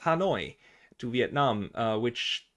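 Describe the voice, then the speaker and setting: A man hosting an interview, asking questions in a casual way with many pauses, fillers and restarts. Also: mid-range voice, expressive, fairly bright tone. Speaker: interview host.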